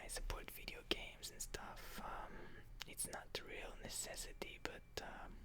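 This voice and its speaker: A Hebrew speaker from Israel, whispering a meandering ASMR question-and-answer chat, full of soft fillers. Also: rough recording. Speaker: ASMR creator.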